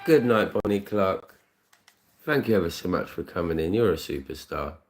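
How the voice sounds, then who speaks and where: A British man with a deep voice, casually chatting on his scratch card live stream, thanking viewers by name and rambling through asides. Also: expressive, moderate pace, music in the background.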